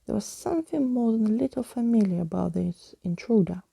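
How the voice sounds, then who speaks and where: A woman narrating a story in ASMR style, soft and breathy, often slipping into a whisper, close to the microphone. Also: medium pitch, expressive, brisk, dry recording.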